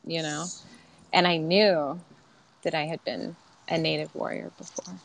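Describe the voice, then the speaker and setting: Female guest, 40s, soft and candid, reflective delivery; a touch low for a woman, webcam-mic sound. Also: flat delivery, measured pace, gravelly, low-quality recording. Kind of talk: personal spiritual story. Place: home room, indoors.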